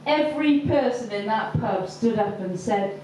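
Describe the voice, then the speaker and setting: A woman doing stand-up, telling a story slowly with many ums and pauses. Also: fairly high pitch, lively intonation, noisy background. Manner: hesitant, lots of ums, slow deliberate delivery. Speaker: stand-up comedian. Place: comedy venue with audience laughter. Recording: echoey far-off live room recording.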